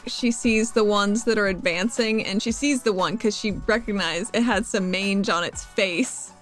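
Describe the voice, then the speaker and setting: A woman's voice: medium pitch, moderate pace, fairly bright tone, faint music behind. A woman playing a tabletop role-playing game, chatting casually and playfully as she improvises narration.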